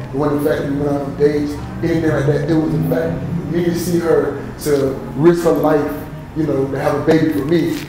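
A man with a fairly high voice speaks softly and from the heart in a barbershop. Heavy room echo and steady background noise surround him. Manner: soft-spoken, heartfelt. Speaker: panelist. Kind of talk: casual barbershop talk. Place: barbershop, indoors. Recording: very echoey shop with steady noise.

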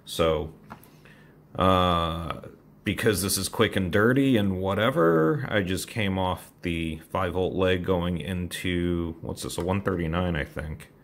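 A man's voice: deep voice, slow. A man casually walking through an electronics hobby mod at his workbench, in a rambling, self-deprecating way. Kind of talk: casual technical walkthrough. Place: home workbench with computer gear.

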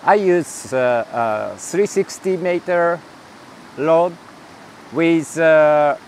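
A middle-aged man from Japan with a Japanese accent, a non-native speaker answering interview questions in English, with many pauses and fillers, recorded outdoors by a stream on a lapel mic. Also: a little high, slight background noise. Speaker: interview guest.

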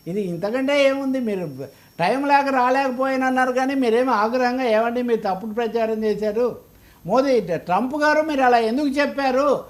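Telugu guy doing political commentary, really high voice, argues hard, lots of rhetorical questions. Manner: emphatic, argumentative, rhetorical questioning.

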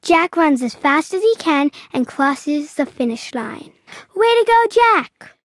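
Female storybook narrator for young kids: high-pitched, enthusiastic, very dry studio sound. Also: very animated, slow pace, no echo. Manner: enthusiastic, clearly paced for young children. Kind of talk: animated read-aloud children's story narration.

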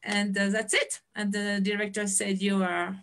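A middle-aged woman with a French accent speaks into a headset on a video call. She tells her stories with lots of laughs and lively gestures. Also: mid-range voice, moderate pace. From France. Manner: animated storyteller, laughs often, waves hands. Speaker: guest, education founder.

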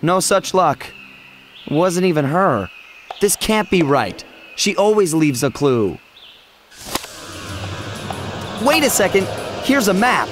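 Brash young trainee spy, game cutscene, highish lively delivery. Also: male voice, measured pace, over music. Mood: urgent. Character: headstrong young male trainee secret agent. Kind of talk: animated video game dialogue.

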